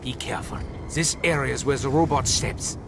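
An actor playing an excitable, obsessive mad scientist in a zombie video game, with a theatrical delivery. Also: male voice, mid-range voice, fairly quick, creaky, natural voice. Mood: urgent explaining. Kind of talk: video game character acting. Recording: loud game music behind.

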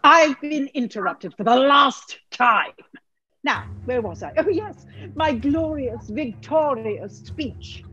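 A woman's voice: high-pitched, lively intonation, slow, sharp tone, dull audio, full character voice. An actress playing a haughty, vain wicked queen in a comic pantomime, with a grand, impatient theatrical manner. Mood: pompous. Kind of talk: comic pantomime acting over video call.

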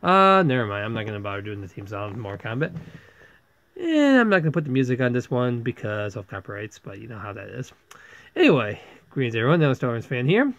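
A man's voice: mid-range voice, big pitch swings, quick pace, a bit bright. A man giving a casual, rambling movie review as a video host, exclaiming in exasperation and sometimes stammering over his words. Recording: dull, lo-fi close microphone.

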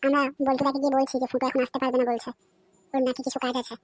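A woman's voice: high-pitched, level tone, racing, no room sound. A woman voicing an earnest young village boy running messages in a cartoon. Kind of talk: cartoon voice acting. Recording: loud background music.

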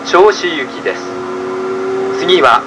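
A man giving a formal, measured, polite scripted announcement over a train's speakers. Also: a little high, expressive, poor audio. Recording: train speaker under loud railway noise. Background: loud train running noise.

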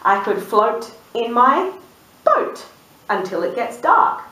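A woman voicing a cheerful, daydreaming female sheep from a storybook, with sing-song, drawn-out rhyming lines. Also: a little high, sing-song, pushed and bright, a little hiss or hum, exaggerated voice. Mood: dreamy, cheerful. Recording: roomy, distant microphone.

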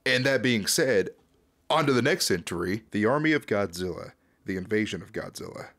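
A young man with a fairly low voice narrates a horror series recap as a video host. His delivery is scripted yet conversational and casual. He is recorded close on a desk mic in a small room, with a dry sound. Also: lively intonation, normal speed, a bit bright, dry recording. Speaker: YouTube host. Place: small dim home room, indoors. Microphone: close desk microphone.

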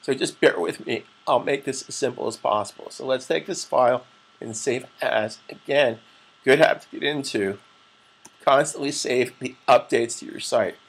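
A middle-aged man with a raspy, strained voice, giving a patient step-by-step software tutorial as an instructor. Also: mid-range voice, normal speed. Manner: plain, patient step-by-step explaining with frequent "okay?". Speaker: web design instructor. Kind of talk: screen-recorded software tutorial.

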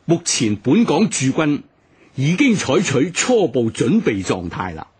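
A man's voice: medium pitch, wildly swooping pitch, unhurried, a little mellow, slightly rough, heavily acted. A man playing a stern colonial government official with a Hong Kong Cantonese accent, solemnly proclaiming a warning to the public in a radio documentary.

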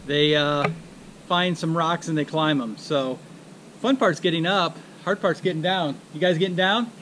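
Chatty dad vlogging on a forest trail. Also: male voice, on the high side, normal speed, fairly bright tone. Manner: easygoing, chatty, walking while talking. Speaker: family travel vlogger. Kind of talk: casual vlog narration. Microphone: action camera held at arm's length. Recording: faint outdoor noise.

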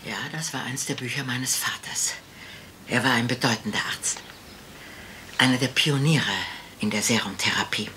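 A woman's voice: very deep voice, moderate pace, pushed and bright, a little hiss or hum. An older woman playing a frail, genteel, wistful lady in a radio crime drama, speaking hesitantly and ramblingly. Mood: wistful, proud.

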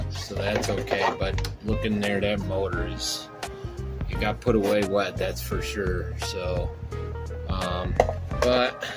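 Male hobby host, lowish voice, loose rambling workshop talk, loud music bed underneath. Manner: rambling, thinking aloud with many fillers. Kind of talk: casual workbench show-and-tell. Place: indoor home workshop bench.

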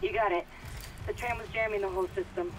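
A man's voice: high-pitched, fairly even intonation, fast, over loud music, close to an everyday voice, rough recording. An actor playing an uneasy crewman on an audio call in a sci-fi horror game. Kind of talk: naturalistic video game acting.

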